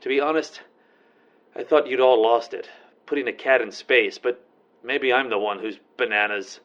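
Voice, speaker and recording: An actor playing an indignant, bewildered astronaut in a comedic radio play, given to melodramatic outbursts. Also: male voice, mid-range voice, moderate pace, fairly bright tone, lightly acted. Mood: resigned. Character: exasperated, paranoid male astronaut, indignant and bewildered. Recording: muffled audio with music behind.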